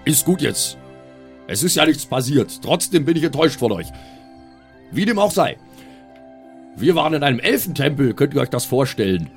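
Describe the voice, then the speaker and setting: A man's voice: mid-range voice, very animated, moderate pace, pauses often, faint music behind. A man improvising as a player in a tabletop role-playing audio show, switching between table talk and in-character speech.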